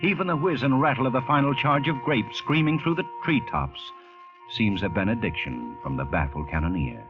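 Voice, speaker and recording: A man acting a grave, intense narrator in an old-time radio drama, deliberate and theatrical, with an ominous manner. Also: medium pitch, lively intonation, music in the background, close to an everyday voice. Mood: weary strain. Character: grave, intense second-person storyteller narrator. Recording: muffled vintage radio recording.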